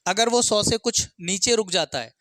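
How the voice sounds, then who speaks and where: A man's voice: high-pitched, lively intonation, normal speed, a bit bright, dry recording, studio quality. A man teaching maths for an exam, working through problems step by step, asking and answering his own questions and mixing in English terms. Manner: instructional, asks and answers own questions, frequent check words.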